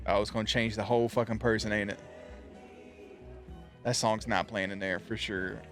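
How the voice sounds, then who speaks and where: A man's voice: lowish voice, fairly even intonation, normal speed, fairly bright tone, faint music behind. A man giving casual reaction commentary on a TV show, joking around with slang and swearing. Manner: jokey, playful, slangy and profane. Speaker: reaction video host.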